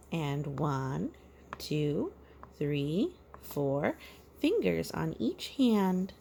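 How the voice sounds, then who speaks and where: A woman reading a children's picture book aloud, playful and sing-song, pausing for asides and counting as if talking to small children. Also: fairly low pitch, vocal fry, a little mellow, slight background noise.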